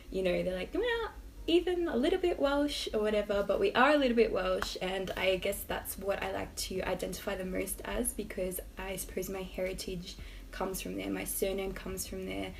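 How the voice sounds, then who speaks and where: A woman's voice: medium pitch, gravelly, a little hiss or hum, low-quality recording. A young Australian woman with an Australian accent, talking casually to camera in a rambling, chatty vlog. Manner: chatty, rambling, hedging with "I don't know". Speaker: vlogger.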